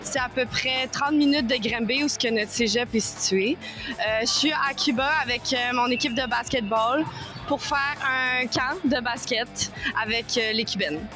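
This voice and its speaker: A woman's voice: on the high side, moderate pace, music in the background. A nineteen-year-old Québécois woman, a college basketball player, chatting casually with many fillers as she searches for words. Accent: Québécois French. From Quebec, Canada. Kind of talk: casual interview testimonial.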